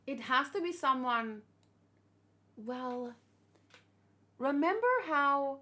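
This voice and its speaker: A woman's voice: sits high, slow pace, bright, forceful tone. A woman chatting casually and unscripted, thinking aloud and asking questions as she hosts a reaction video. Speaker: manga reaction host.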